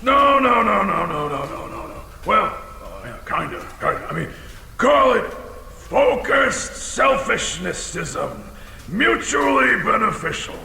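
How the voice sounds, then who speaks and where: Gravelly, theatrical dragon in a cavern. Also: male voice, high voice, sing-song, slow, heavily acted. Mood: earnest, rambling. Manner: theatrical, rambling, swings into bellowing. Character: huge, touchy, boastful ancient dragon, chatty and wounded. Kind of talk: audio drama acting. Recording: cavernous echo with long reverb, faint noise.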